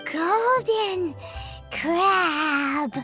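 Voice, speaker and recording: A woman voicing a tiny, childlike fairy companion in an anime game, squeaky, blunt and cheeky, with music behind her. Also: lively intonation, drawn-out words, exaggerated voice. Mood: excited. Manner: refers to self in third person, cheeky. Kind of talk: English anime game voice acting. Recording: loud playful music behind.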